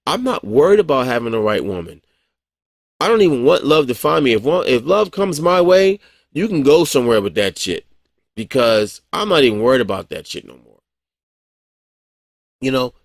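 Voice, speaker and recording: A man in his forties talks off the cuff in a casual vlog monologue, blunt and heavy on profanity. He stumbles into restarts and breaks into short chuckles as he goes. His voice is mid-pitched and sounds dry and close, with no room echo. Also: sing-song, normal speed. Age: 40s. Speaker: vlogger.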